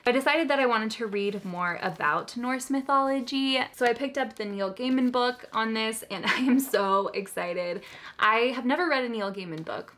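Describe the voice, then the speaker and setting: A woman's voice: a little high, normal speed, fairly bright tone. A woman hosting a book video, chatting casually to viewers in an enthusiastic, giggly, gushing way. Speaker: host of a book haul video.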